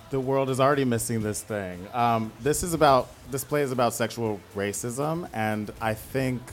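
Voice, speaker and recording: A man speaking as a playwright, giving a thoughtful interview answer. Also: medium pitch.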